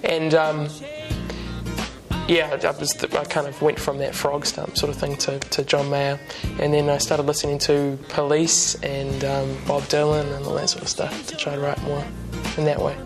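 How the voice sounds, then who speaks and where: A young man in his twenties with a New Zealand accent, chatting as a musician guest in a relaxed, rambling way with lots of ums and restarts. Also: a little high, moderate pace, creaky, music in the background. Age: 20s. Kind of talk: casual interview chat. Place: TV studio couch.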